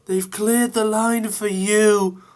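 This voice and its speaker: A man's voice: high-pitched, little pitch movement, slow pace, a little mellow, pitched above the performer's own voice. A man voicing a nervous, timid little male tank engine who stammers shakily. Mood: frightened. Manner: stammering, shaky.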